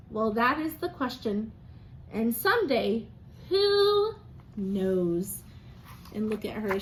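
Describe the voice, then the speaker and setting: A woman reads aloud to young children as a teacher, in a sing-song, expressive way, pausing to prompt answers from her listeners. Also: a little high, slight background noise, low-quality recording.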